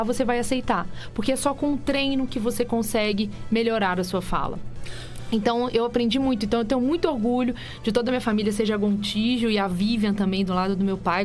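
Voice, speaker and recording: A chatty woman in her thirties with a light mineiro accent. She talks as a radio interview guest, her voice pitched a little high, and she tells her story in animated bursts full of restarts. Soft music plays faintly behind her. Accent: light Minas Gerais (mineiro). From Minas Gerais, Brazil. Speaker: guest, TV journalist and presenter. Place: radio studio.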